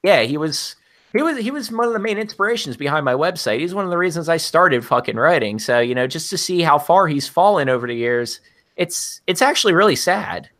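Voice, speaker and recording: High voiced guy cussing thru a long rant, bright tone. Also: expressive, fairly quick. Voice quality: high-pitched for a man. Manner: profanity-laced ranting. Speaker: podcast guest. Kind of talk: profane casual storytelling.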